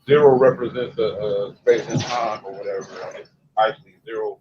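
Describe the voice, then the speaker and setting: A man in his forties in casual chat, halting with many fillers and restarts. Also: on the low side, fairly even intonation. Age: 40s. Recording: dull lo-fi sound with faint noise.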